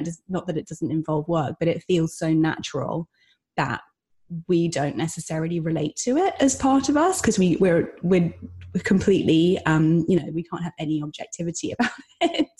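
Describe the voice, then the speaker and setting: Woman, podcast host, warm mellow tone, lowish voice, reflective, hesitant restarts. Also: expressive, moderate pace, husky. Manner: reflective, many fillers and restarts. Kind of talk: conversational interview.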